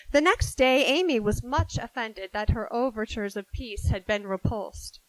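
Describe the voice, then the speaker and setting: A woman's voice: on the high side, fairly bright tone, no echo, low-quality recording. A woman playing a hot-tempered, bossy teenage sister in a 1940s-style radio drama, theatrical and emphatic. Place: live theater stage.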